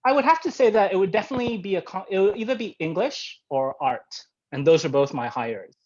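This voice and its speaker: A man's voice: high-pitched, lively intonation, brisk, a little mellow, no room sound. Man, guest on a video call, hesitant, lots of ums, dry dull lo-fi sound. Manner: hesitant, reflective, many fillers. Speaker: interview guest. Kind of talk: answering interview questions.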